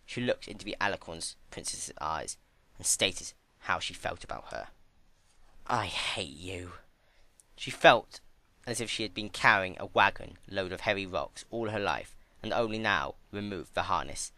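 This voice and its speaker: A man delivers a dramatic story reading with theatrical intensity. His voice sits fairly low but moves widely in pitch, with a forceful edge to the narration. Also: expressive, moderate pace, no echo. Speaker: fan fiction narrator.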